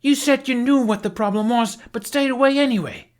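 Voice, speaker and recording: A fierce young Viking warrior woman, acted out by a male audiobook reader, pitched well up and indignant. The delivery is heated and emphatic, every line pushed out like an accusation. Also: a little fast. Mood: outraged. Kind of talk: audiobook character acting.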